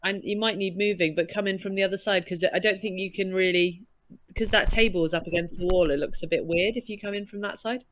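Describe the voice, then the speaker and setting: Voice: mid-range voice, steady tone, fast talker, a bit bright. A director giving practical stage directions during a rehearsal.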